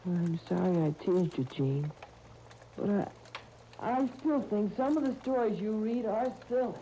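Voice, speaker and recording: A woman's voice: medium pitch, expressive, unhurried, warm tone. A woman playing a young boy in a 1930s radio soap opera, boyish, blurting and a little sheepish. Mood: sheepish, apologetic. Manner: boyish, blurting, hesitant slangy talk. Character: young boy twin, scornful of romance, sheepish. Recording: dull, noisy 1939 radio disc recording.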